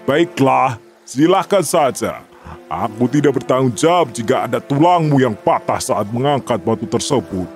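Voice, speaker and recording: A man's voice: mid-range voice, animated, a little slow, soft background music. A man playing an arrogant, mocking foreign challenger in a children's folktale drama, taunting and boastful.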